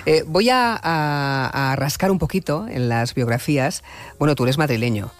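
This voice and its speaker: A man hosting a late-night radio interview, speaking in a deliberate, musing way with rhetorical flourishes. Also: fairly high pitch, dry recording. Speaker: radio host interviewing a musician. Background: music playing under the talk.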